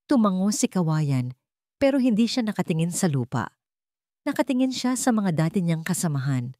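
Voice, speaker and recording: Low-voiced woman narrating a dramatic story. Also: very animated, normal speed, warm tone, no room sound, clean studio sound.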